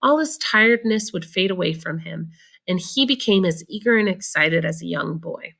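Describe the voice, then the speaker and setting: A woman reading a children's book aloud in an expressive narrating style. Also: fairly low pitch, normal speed, vocal fry, slightly rough. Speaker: storybook reader.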